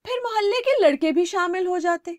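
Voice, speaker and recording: A woman storyteller narrating a children's story in an expressive way. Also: very high-pitched, dry recording, studio quality.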